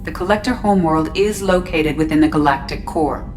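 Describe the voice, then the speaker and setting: A woman's voice: low-pitched, fairly quick, darkish tone, recorded from a distance, faint music behind, lightly acted. A woman playing a composed, analytical ship computer in a video game, precise and detached. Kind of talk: scripted game dialogue.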